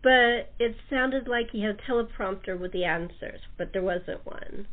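A woman's voice: mid-range voice, moderate pace. A woman hosting a casual interview, chatty and given to repeating phrases for emphasis. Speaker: talk show host. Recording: tinny narrow phone-like line.

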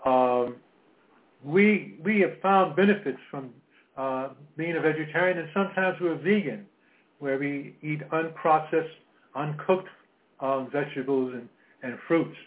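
A man in his seventies hosting a health talk radio show, speaking in a halting way with many fillers and repeated words. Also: on the high side. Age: 70s. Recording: phone-line audio.

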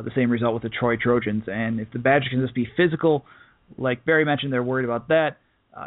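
Thin, phone-like audio of a man hosting a sports preview show on his own. His voice sits at an ordinary male pitch. He talks casually and haltingly, doubling back on phrases as he works through his points. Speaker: sports podcast host. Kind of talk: casual solo game preview commentary.

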